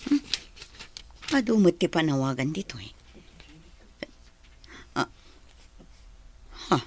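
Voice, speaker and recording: A woman playing a gentle, homesick grown daughter in an audio drama, with a breathy, husky voice. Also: lowish voice, slight background noise, full character voice. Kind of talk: Filipino radio-style drama acting.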